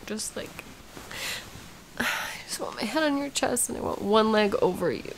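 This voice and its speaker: A woman playing a sick, sleepy, sweet and whiny girlfriend in an intimate ASMR roleplay, breathy and often dropping into a whisper, with drowsy sighs. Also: fairly high pitch, animated, low-quality recording. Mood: sleepy, cuddly. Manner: drowsy, drawn-out, sighing, intimate.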